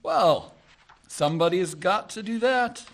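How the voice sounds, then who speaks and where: A man's voice: high voice, expressive, measured pace, creaky, exaggerated voice. A man playing a smug rich rival suitor in a radio play, speaking with veiled menace.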